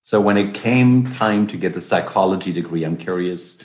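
A man's voice: low-pitched, normal speed, darkish tone, slight background noise. A man hosting an interview, curiously restating his guest's words before asking open questions. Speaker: interview host. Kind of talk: conversational interviewing. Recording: echoey room.

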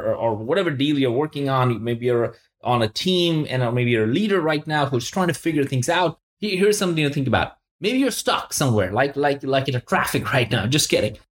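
A male podcast host with a voice pitched a little high for a man. He speaks conversationally and with enthusiasm, in a motivational style. He stresses points by repeating words and often turns to the listener with a question. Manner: poses rhetorical questions to the listener.

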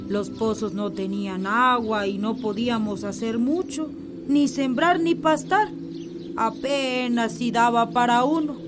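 A woman's voice: fairly high pitch, a little slow, background noise, lightly acted. An actress playing a worried village mother in a radio drama, anxious and pleading.

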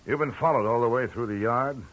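A man's voice: medium pitch, brisk, a bit bright, close to an everyday voice, poor audio. A man playing a taunting, cocky hoodlum. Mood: menacing. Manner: mocking, taunting, falsely friendly. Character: cocky menacing male hoodlum boss. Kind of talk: 1940s radio drama acting. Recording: old radio broadcast with clear hiss.

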